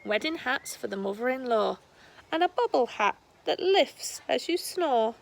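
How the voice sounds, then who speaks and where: A woman reading a rhyming children's storybook aloud in a deliberate storytelling manner. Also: sits high, lively intonation, vocal fry, slight background noise, low-quality recording. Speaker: storybook reader. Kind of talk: scripted children's rhyme read aloud.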